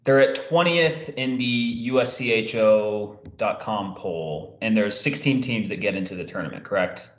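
A young man with a fairly low voice hosts a casual sports interview. He speaks over a video call from a noticeably echoey room. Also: moderate pace, few pauses. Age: young adult. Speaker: sports show host. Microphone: video call microphone.

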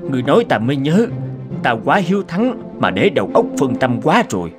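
A man's voice: medium pitch, wildly swooping pitch, a little fast. A man playing a proud king in a cartoon folk tale, speaking in a stately, emphatic, reflective way. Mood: reflective, grateful. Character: proud, competitive bearded king, humbled and reflective. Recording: prominent music behind the voice.

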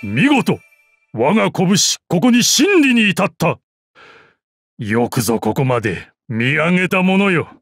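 An actor playing a proud, battle-hardened old martial arts master in a game, with gruff dignity, dry amusement and archaic old-man speech. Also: a little high, sing-song, a little slow, no room sound, faint music behind, full character voice. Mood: triumphant. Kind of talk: Japanese mobile game character voice lines.

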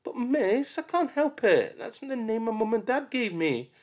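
A man's voice: extremely high pitch, sing-song, a little slow, pitched above the performer's own voice, exaggerated voice. A man voicing a timid young schoolchild in an audiobook, speaking in a whiny, pleading way. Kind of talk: audiobook character voice.

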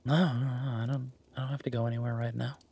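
A man playing a tender, teasing, easygoing werewolf boyfriend in an intimate romantic audio roleplay, murmuring softly with a husky voice. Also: mid-range voice, little pitch movement, fast talker. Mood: playful, affectionate, then tender, hushed.

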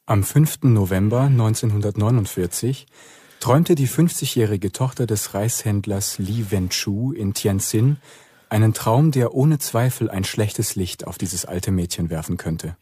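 An actor narrating a radio play in a formal, deadpan, dryly ironic way. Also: male voice, on the low side, unhurried, breathy edge, no echo, clean studio sound. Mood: detached, dry. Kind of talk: scripted narration.

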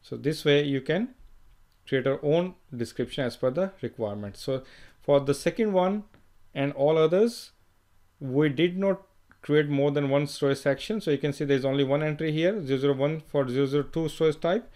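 Man talking through a software demo. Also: a little high. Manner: unhurried, thinks aloud with fillers and restarts. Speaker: software trainer. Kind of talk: screen-recorded tutorial walkthrough.

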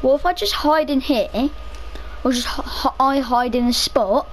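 A young woman chatting casually over her own gameplay, rambling to herself, her voice a little rough from a cough. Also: sits high, moderate pace, a little hiss or hum, poor audio. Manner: chatty, rambling, self-talking. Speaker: gaming video creator.

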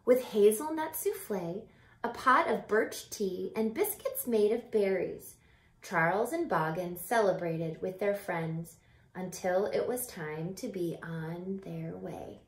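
Slow, expressive woman reading a storybook. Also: medium pitch. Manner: animated, deliberate, drawn-out phrasing with hushed whispers. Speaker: teacher reading a picture book aloud. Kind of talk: expressive children's storybook read-aloud with asides to young listeners. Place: small home room by a window. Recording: roomy echo from home camera mic.

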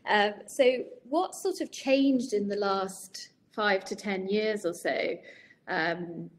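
A woman in her forties hosting a webinar over a video call, chatty and relaxed, with many ums. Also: mid-range voice, takes it slowly, a little hiss or hum. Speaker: webinar host. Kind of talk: casual webinar chat. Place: home room with shutters and plants. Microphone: video call laptop mic.

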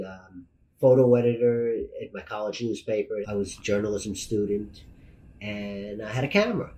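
A man's voice: lowish voice, dark tone, poor audio. A man in his fifties with a New York accent, giving an emphatic, plain-spoken documentary interview as a veteran news photographer. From Brooklyn, New York. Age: 50s. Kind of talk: documentary interview, personal account. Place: meeting room indoors.

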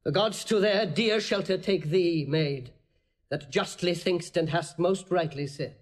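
High-voiced, defiant nobleman. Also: a little slow. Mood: resolute farewell. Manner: earnest, declamatory, outspoken. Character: blunt, loyal nobleman, passionate and defiant. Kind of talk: classical Shakespearean audio drama acting.

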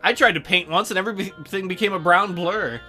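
Middle-aged man, joking game commentary, wisecracking, forceful bright voice, music behind. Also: high-pitched, brisk. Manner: crude, wisecracking, rambling. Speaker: let's play streamer.

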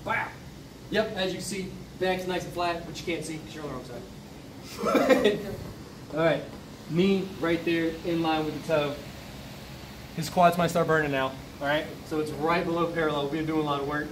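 A man's voice: fairly high pitch, normal speed. A man in his thirties, a coach, explaining squat form to the camera in an upbeat, instructive way inside a large gym. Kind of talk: coaching demonstration. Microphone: camera mic from across the room. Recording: echoey gym from far off, noisy.

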